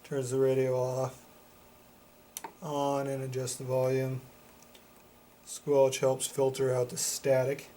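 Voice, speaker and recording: A man walks through a product in a casual, plain-spoken way. His voice is on the mellow side and stays fairly level in pitch. There is some faint background noise in the recording. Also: monotone, slow, long pauses. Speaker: product reviewer for a CB radio shop.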